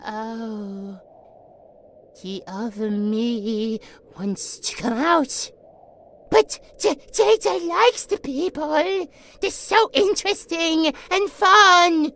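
A woman's voice: very high voice, takes it slowly, creaky, fairly bright tone, exaggerated voice. A woman voicing a playful possessed little boy in an audio drama, with babyish, stammering, third-person talk. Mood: halting, playful. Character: childlike, playful possessed boy, easily delighted.

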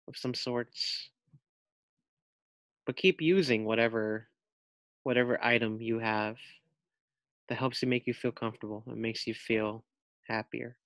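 A man's voice: mid-range voice, fairly bright tone, dry recording. A man reading a children's picture book aloud as a teacher, expressively, with simple asides to young listeners.

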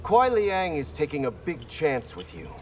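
An actor playing a sober, wary ninja in a video game, with terse, serious lines. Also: male voice, fairly high pitch, huge pitch swings, unhurried, a little hiss or hum. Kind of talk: dramatic video game dialogue.

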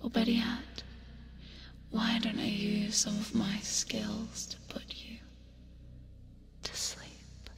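Woman playing a slow, whispering female demon. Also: mid-range voice, little pitch movement. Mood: teasing, cozy. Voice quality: breathy, whispery. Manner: slow, soothing, coaxing with teasing asides. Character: teasing, creepy but caring female sleep paralysis demon. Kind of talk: whispered ASMR hypnosis roleplay. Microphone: close microphone. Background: music.

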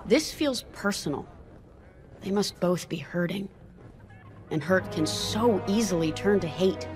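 An actress playing an earnest, empathetic rebel pilot in a video game, reflective and philosophical. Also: female voice, on the low side, measured pace, darkish tone, soft background music, natural voice. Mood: solemn, compassionate. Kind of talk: video game character dialogue.

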